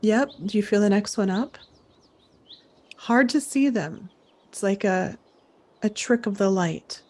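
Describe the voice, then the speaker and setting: Slow-paced woman guiding an imaginative exercise. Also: mid-range voice, darkish tone. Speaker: host, playing a camp director guiding campers. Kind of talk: guided imagery coaching, storytelling.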